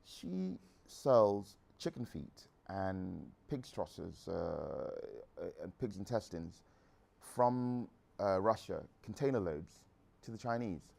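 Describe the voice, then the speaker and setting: Man in his thirties, from England, very low voice, frank and rambling, guest speaker. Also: sing-song, slow pace, gravelly, a little mellow. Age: 30s. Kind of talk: answering audience questions.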